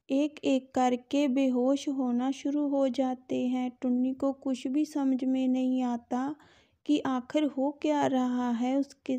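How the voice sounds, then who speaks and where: A woman narrates a children's tale in a high, soft-edged voice. Her delivery is slow and even, staying mellow from line to line. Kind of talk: scripted children's story narration.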